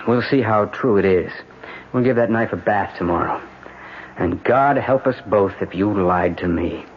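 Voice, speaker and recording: A man's voice: lowish voice, moderate pace, natural voice. An American man playing a blunt, pressing defense lawyer in an old-time radio drama, talking in colloquial, urgent phrases. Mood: urgent, stern. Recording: dull, noisy vintage radio recording.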